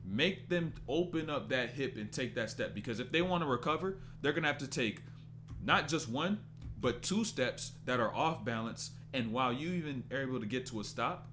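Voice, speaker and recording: A man's voice: medium pitch, animated, moderate pace, fairly bright tone, a little hiss or hum. A man in his twenties doing casual live-stream basketball commentary, loose and rambling as he stops to answer chat. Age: 20s.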